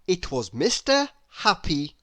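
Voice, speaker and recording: A man reading a children's story aloud with dramatic, deliberate, expressive narration. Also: high voice, no room sound, studio quality. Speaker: storybook reader.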